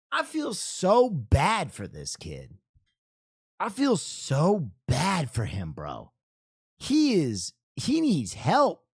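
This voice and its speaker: Animated joking man in a dry room. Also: high voice, slightly rough, no echo. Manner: loose, profane, joking, quick asides. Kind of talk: casual chat reacting to old videos.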